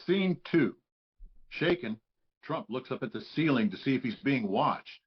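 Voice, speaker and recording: Guy narrating stage directions from a script, mid pitch, kinda lo-fi sound. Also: lively intonation, a little slow.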